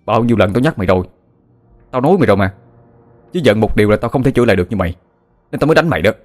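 A man voicing a stubborn, quick-tempered young villager, speaking forcefully. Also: on the low side, faint music behind, close to an everyday voice. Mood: angry. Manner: forceful, rapid. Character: stubborn young man, fair-minded but quick to hit.

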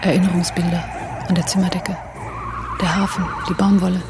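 A woman's voice: on the low side, level tone, a little fast, noisy background, close to an everyday voice. An actress playing a weary, troubled woman in a radio drama, speaking in an intimate, brooding and subdued way. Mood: brooding, bitter. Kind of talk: intimate inner monologue and dialogue in radio drama.

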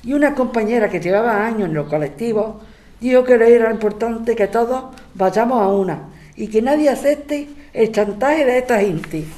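Una actriz con acento castellano que interpreta a una activista vecinal prudente y comprometida en una ficción sonora. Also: female voice, on the high side, lively intonation, normal speed, dark tone, natural voice. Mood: tentative, earnest. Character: Inés, tentative activist squatter in the building. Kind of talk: scripted audio drama.

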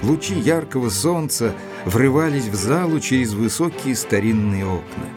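An actor playing a thoughtful gentleman investigator, narrating a mystery in a measured, deliberate literary style. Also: medium pitch, expressive, over music, close to an everyday voice. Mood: measured, suspicious. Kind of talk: dramatized first-person literary narration.

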